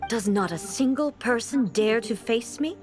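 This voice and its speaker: A stern, immortal female ruler in a fantasy game. Her voice sits fairly high and she speaks in formal, commanding lines with cold bluntness. Music plays clearly underneath. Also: expressive, measured pace. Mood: challenging. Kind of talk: dramatic video game acting.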